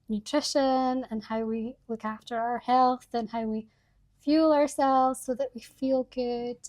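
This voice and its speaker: A woman's voice: a little high, a little mellow. A woman hosting a podcast, chatting enthusiastically and laughing often with her guest. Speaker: podcast host. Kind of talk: warm podcast interview.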